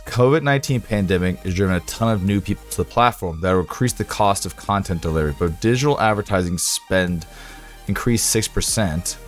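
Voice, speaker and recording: A man with a raspy, hoarse voice chatting casually with his livestream viewers, rambling, sarcastic and swearing as he reads figures aloud. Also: sits low, brisk, faint music behind. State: hoarse, sore voice.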